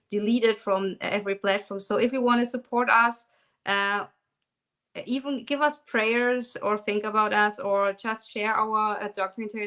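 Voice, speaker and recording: German-accented woman, halting speech. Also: medium pitch, a bit bright. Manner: halting, many ums and restarts. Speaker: guest, documentary maker. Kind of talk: live online conversation.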